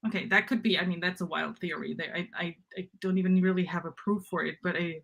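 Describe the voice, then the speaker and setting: A woman's voice: lowish voice, moderate pace, vocal fry, husky. A woman in a casual book chat, co-hosting and thinking aloud in an informal way, often trailing off mid-thought. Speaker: co-host of a book discussion. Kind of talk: casual fan chat about fantasy novels.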